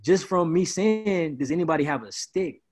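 A man's voice: a little high, lively intonation, moderate pace, darkish tone. Laid-back young man on a video call. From Peoria, Illinois. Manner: laid-back, rambling, says "bro" often. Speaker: guest, party promoter. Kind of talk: casual interview. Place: indoors, small room at home.

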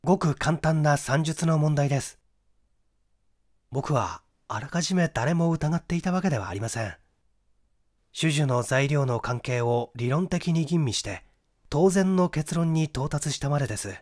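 A man's voice: fairly high pitch, lively intonation, moderate pace, a little mellow, natural voice. A man voicing a clever, confident young amateur detective who explains his deductions methodically in a mystery audiobook. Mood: methodical, self-assured. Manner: explanatory, methodical, rhetorical questioning. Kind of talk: Japanese mystery audiobook acting.

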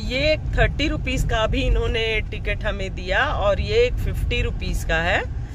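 A woman's voice: fairly high pitch, unhurried, noisy background. A woman in her forties chatting casually through a travel vlog, switching between Hindi and English, filmed on a phone held at arm's length outdoors. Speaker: vlogger. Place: outdoors by a river and inside a car. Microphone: phone camera at arm's length.

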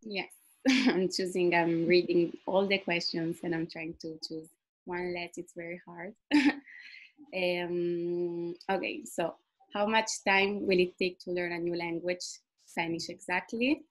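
A woman's voice: on the low side, little pitch movement, measured pace. A woman whose first language is Italian, the community manager answering questions on a video call, laughing often between answers. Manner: laughs often, fluent non-native phrasing. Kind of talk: webinar Q&A answers.

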